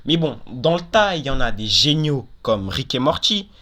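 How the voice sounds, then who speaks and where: A man's voice: medium pitch, big pitch swings, moderate pace, sharp tone. A young man hosting a casual countdown video, talking informally and giving opinions, often repeating and qualifying himself. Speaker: video host.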